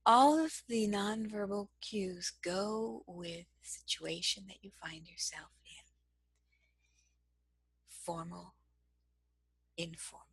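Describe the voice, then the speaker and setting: Soft, breathy woman teaching slowly. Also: medium pitch, no room sound, rough recording. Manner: slow, measured and gentle. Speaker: cross-cultural communication trainer. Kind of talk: instructional lesson to camera.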